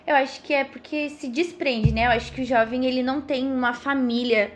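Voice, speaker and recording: A Brazilian woman of thirty speaks in a distinctly high voice. She explains things as a podcast guest in a casual, earnest way, halting now and then and tagging her phrases for agreement. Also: fairly even intonation, slight background noise.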